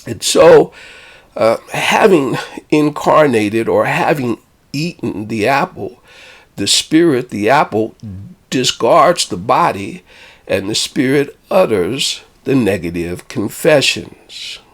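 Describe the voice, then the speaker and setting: A man, a spiritual teacher and author, reflecting on his own book in a measured, deliberate way with hesitant fillers. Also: mid-range voice, lively intonation.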